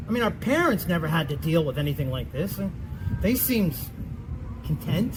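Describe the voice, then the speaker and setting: A man's voice: a little high, very animated, normal speed, noisy background. A man playing an anxious, cynical middle-aged husband in a comedic stage play, stammering and self-deprecating. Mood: anxious, venting. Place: theatre stage at a festival.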